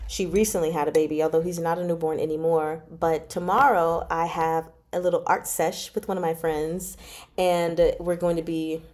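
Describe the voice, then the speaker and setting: A woman with a slightly low voice hosts a relaxed livestream chat. She talks informally with viewers, drifting into giggly asides as she answers their comments. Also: normal speed. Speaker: livestream host reading chapters aloud and chatting with viewers.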